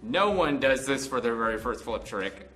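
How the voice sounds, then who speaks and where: A man's voice: mid-range voice, lively intonation, moderate pace, fairly bright tone, soft background music. An American man in his twenties telling a casual, unscripted story in a rambling, conversational way. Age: 20s. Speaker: vlog host.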